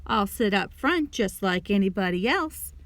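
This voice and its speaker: A woman's voice: mid-range voice, lively intonation, measured pace, fairly bright tone, dry recording. Woman reading as proud, defiant young male athlete. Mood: defiant, firm. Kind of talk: children's storybook character reading.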